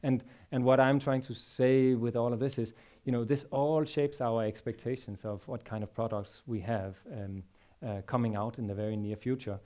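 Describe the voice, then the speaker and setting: A man in his forties with a Danish accent, speaking on a large conference stage through a headset mic. His voice is mellow and dark at a middling pitch. He stumbles and repeats words as he works through his points. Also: steady tone. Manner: halting, many repeated words and fillers. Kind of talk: technical conference talk.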